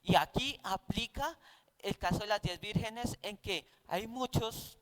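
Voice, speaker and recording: Colombian guy preaching in a bible class, pretty high clear voice, explains like a teacher. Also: a little slow, fairly bright tone. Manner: didactic, conversational, poses rhetorical questions.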